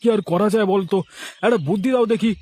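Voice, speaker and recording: A man's voice: high voice, brisk, darkish tone, slight background noise, close to an everyday voice. A man voicing an aggrieved, envious village man in a cartoon, speaking in an indignant, boastful, emphatic way. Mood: aggrieved, determined. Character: aggrieved, envious village man plotting a rival food stall. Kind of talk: animated moral story dialogue.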